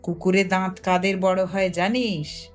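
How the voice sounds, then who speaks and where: A woman's voice: mid-range voice, fairly even intonation, measured pace, faint music behind, pitched below the performer's own voice, close to an everyday voice. A woman voicing a knowing, teasing older lad, bossy and mysterious, in a storybook reading. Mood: knowing, scornful.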